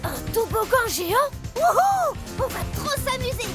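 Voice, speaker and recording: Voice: extremely high pitch, very animated, unhurried, heavily acted. A performer voicing a bold, playful young truck with a childlike, gleeful delivery in a French children's cartoon. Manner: gleeful, exclamatory. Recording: music behind the voice.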